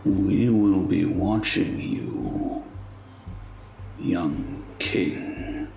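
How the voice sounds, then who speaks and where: An actor playing a proud, menacing fae king in a fantasy radio play, slow and deliberate with a gravelly voice. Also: deep voice, darkish tone, full character voice. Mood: grudging. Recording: roomy echo under music.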